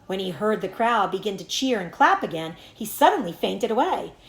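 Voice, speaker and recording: A woman reading a children's book aloud as a teacher, in an animated storytelling manner. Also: mid-range voice, moderate pace, echoey room. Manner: animated storytelling with acted dialogue.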